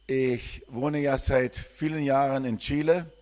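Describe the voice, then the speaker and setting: A man's voice: medium pitch, steady tone, a little slow, a little mellow. An older man from southern Germany, a missionary, greeting a church congregation and giving a rambling personal testimony with frequent hesitations.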